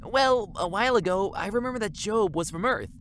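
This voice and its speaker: A woman's voice: medium pitch, animated, a little fast, a little hiss or hum, close to an everyday voice. A woman playing a naive, eager space station custodian who rambles guilelessly in a comedy audio drama. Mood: chatty.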